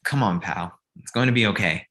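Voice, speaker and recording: A man in a comedy table read playing an earnest, kindly young roommate, coaxing and expressive. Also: low-pitched, fairly quick. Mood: gently coaxing.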